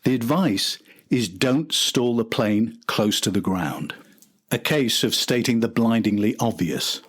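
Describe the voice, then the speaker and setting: A man's voice: medium pitch, lively intonation, a little slow, breathy edge. An older man narrating a documentary from a script.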